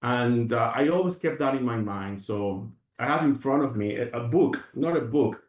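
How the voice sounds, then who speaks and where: Guest from Venezuela, earnest rambler with restarts, a bit far off the mic. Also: male voice, medium pitch, lively intonation, normal speed, echoey room, lo-fi sound. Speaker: guest, functional medicine practitioner. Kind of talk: reflective interview talk.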